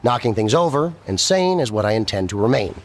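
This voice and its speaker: An actor playing a gentle, earnest, eccentric male scientist in a radio comedy, husky and sincerely matter-of-fact about absurd things. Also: mid-range voice, very animated, brisk, lightly acted. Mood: serene, matter-of-fact. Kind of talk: radio comedy drama acting.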